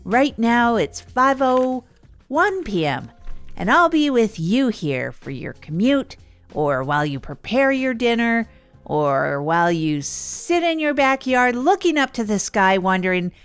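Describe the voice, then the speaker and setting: A woman's voice: a little high, very animated, unhurried, clean tone, soft background music. A woman playing an upbeat, awkward, down-on-their-luck local radio host in an improvised comedy audio drama, chatting informally and hesitantly. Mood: welcoming. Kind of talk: improvised comic monologue, chatty radio storytelling.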